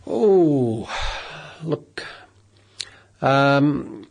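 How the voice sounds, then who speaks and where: A middle-aged Australian man hosting a radio phone-in legal advice show, measured and polite, with many filler sounds. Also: mid-range voice, animated, gravelly. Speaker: radio phone-in host, solicitor. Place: radio studio.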